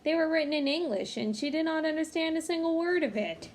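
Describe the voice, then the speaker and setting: A woman with a fairly high voice reads a children's picture book aloud. She is expressive and speaks as if to young listeners. There is some faint background noise in the recording. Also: brisk. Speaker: read-aloud storyteller. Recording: clearly noisy home recording.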